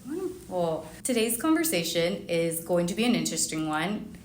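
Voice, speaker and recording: A woman's voice: on the low side, big pitch swings, moderate pace. A woman hosting a business podcast, reading introductions and questions in a formal, welcoming presenter's manner. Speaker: podcast host. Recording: roomy echo, faint background noise.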